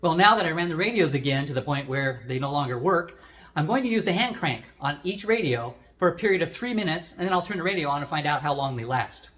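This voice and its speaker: A man's voice: on the high side, animated, fast, fairly bright tone, a little hiss or hum. A man hosting a product review, explaining things in a plain, unscripted way as he demonstrates.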